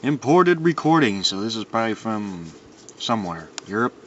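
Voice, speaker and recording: A man's voice: on the low side, big pitch swings, normal speed, a bit bright. A man giving a casual, unscripted show-and-tell as a collector, rambling and musing to himself as he handles things. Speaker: record collector presenting his albums. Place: indoors in a dimly lit room. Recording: dull lo-fi sound with clear background noise.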